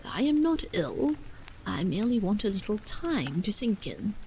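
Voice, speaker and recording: A woman reading aloud and voicing a troubled husband who speaks gravely, dully and stubbornly, with halting pauses. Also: mid-range voice, sing-song, mellow, slight background noise, rough recording.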